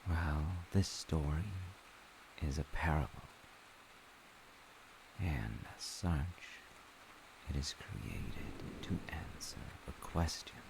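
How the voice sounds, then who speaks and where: A loving dad, played by a man tells a story in a very low, creaky voice. He rambles through wry, conversational asides in a playful audio roleplay manner. A faint hiss sits behind him. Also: exaggerated voice. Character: loving, playful dad telling his child a parable. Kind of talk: audio roleplay, informal bedtime-style storytelling.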